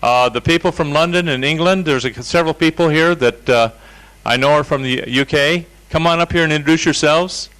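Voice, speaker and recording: A man hosts a seminar and invites attendees forward in an informal, unhurried way. His voice sits a little high for a man. The talk is loose and full of small fillers. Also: a bit bright, clear, resonant tone, rough recording. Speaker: seminar leader. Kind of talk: hosting audience introductions. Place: seminar room.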